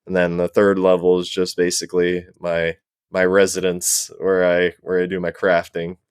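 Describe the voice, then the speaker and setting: A man's voice: low voice, completely flat delivery, moderate pace, clean tone, no echo, studio quality. A man chatting casually as a guest on a panel, describing things in an informal way. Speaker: podcast guest, cosplay prop maker. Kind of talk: casual chat.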